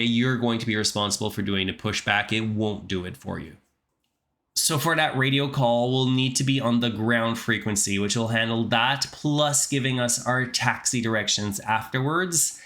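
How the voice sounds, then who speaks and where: Male instructor, bright forceful tone, medium pitch, explanatory tutorial narration, methodical step-by-step delivery. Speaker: flight simulator instructor.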